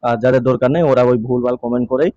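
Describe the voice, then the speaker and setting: A man's voice: medium pitch, steady tone, very fast, mellow, no echo. A man hosting a casual vlog update, talking in a repetitive, pleading way. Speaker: video host. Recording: dull, lo-fi close dry sound.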